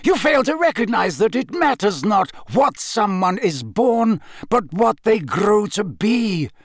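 A man voicing a grave old male wizard in an audiobook, solemn, measured and emphatic, with urgent authority. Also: sits high, very animated, no echo, clean studio sound, voice pushed up, exaggerated voice. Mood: forceful, impassioned. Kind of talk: audiobook character voice.

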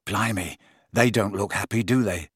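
A man's voice: fairly low pitch, normal speed, a bit bright, husky, no room sound, studio quality. A man voicing a blunt, grumbling teenage boy with an indignant, incredulous manner. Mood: casual, grumbling.

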